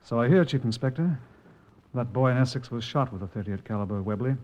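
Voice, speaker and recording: An actor playing a keen, dogged junior detective in a 1950s radio crime drama, terse and matter-of-fact. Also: male voice, mid-range voice, quick pace, darkish tone, lightly acted. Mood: keen, determined. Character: keen, dogged male police subordinate detective. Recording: muffled old-time radio recording.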